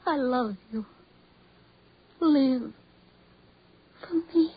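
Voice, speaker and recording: A dull, vintage radio recording of a devoted, pleading woman. Her fairly high voice is very husky, and she speaks with earnest melodrama in forties radio style. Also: very slow, heavily acted. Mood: pleading, loving. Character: tender, devoted blind woman pleading for love. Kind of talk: 1940s radio horror drama acting. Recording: muffled old 1940s radio recording.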